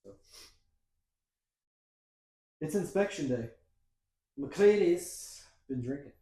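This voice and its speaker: A man's voice: a little high, lively intonation, slow, mellow, echoey room. A man hosting a casual podcast, walking through a film's plot with playful asides. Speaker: podcast host. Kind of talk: casual movie recap chat.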